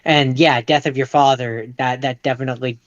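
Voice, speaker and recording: A man co-hosting a podcast in casual banter, reasoning haltingly and repeating words as he goes, recorded with a dry, slightly dull sound. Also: mid-range voice, steady tone, no room sound. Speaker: podcast co-host.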